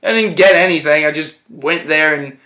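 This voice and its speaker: Brief: young man, slightly high voice, relaxed rambling talker, slangy and filler-heavy, phone camera in a hospital room. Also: normal speed. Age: young adult. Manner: laid-back, rambling, slangy with lots of fillers. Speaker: interviewee. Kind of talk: casual chat. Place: hospital room, lying in bed.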